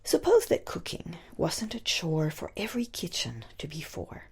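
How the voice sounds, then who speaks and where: A woman poet reciting her own rhyming verse in a husky, breathy voice, with expressive phrasing that dips into whispery tones. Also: fairly low pitch, normal speed.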